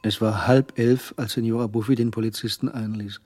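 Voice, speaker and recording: A man's voice: on the low side, steady tone, brisk, darkish tone, a little hiss or hum. A man narrating a German radio crime drama from a literary script.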